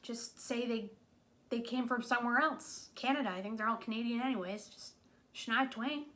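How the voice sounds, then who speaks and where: A woman's voice: fairly high pitch, normal speed, fairly bright tone. Chatty wry woman reviewing a movie. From New England. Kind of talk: casual video review.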